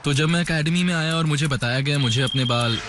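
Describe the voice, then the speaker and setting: A man's voice: a little high, fairly quick, noisy background. A man giving an interview-style personal account as a military cadet. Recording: loud music behind the voice.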